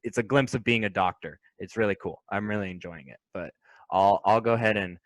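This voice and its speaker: A man's voice: lowish voice, a little fast, dry recording. A man in his twenties co-hosting a podcast, chatting earnestly and rambling a bit in a friendly way. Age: 20s. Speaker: podcast co-host. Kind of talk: casual chat.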